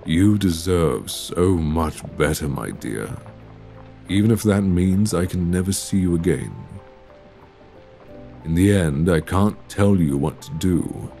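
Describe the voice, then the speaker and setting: A man's voice: mellow, heavily acted. A man playing a tormented, courtly young Victorian vampire in an ASMR roleplay, speaking tenderly and formally, close to a binaural microphone. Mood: resigned, tender. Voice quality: very deep, breathy, husky. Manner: formal, old-fashioned, measured phrasing. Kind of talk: ASMR roleplay audio drama acting.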